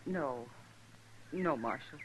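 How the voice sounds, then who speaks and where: An actress playing a humble, grieving frontier widow with rustic American speech in a 1950s radio western. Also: female voice, extremely low pitch, animated, exaggerated voice. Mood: gentle, grieving. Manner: rustic, halting, gracious. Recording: faintly noisy old radio recording.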